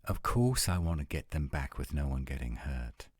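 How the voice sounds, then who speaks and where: A man's voice: rumbling low, sing-song, moderate pace, no echo, studio quality, exaggerated voice. A man playing a testy, headstrong werewolf, terse and challenging, in an audio roleplay drama. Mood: incredulous, reluctant. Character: testy, headstrong male werewolf, protective of his pack.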